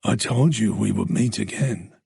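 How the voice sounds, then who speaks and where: A man puts on a sinister stranger in a storybook thriller, speaking low, slow and soft from the mist, every word a quiet threat. The voice has a gravelly edge and a husky grain, with a dry, close sound. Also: no echo, heavily acted. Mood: coldly menacing. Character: menacing mysterious man, cold and commanding.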